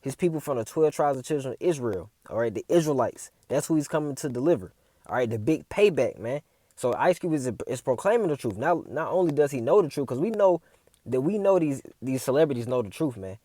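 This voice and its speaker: A man's voice: mid-range voice, lively intonation, fairly quick, warm tone, no echo. A man giving a casual religious commentary as a preacher, reading scripture and tagging his lines with "man" and "all right?".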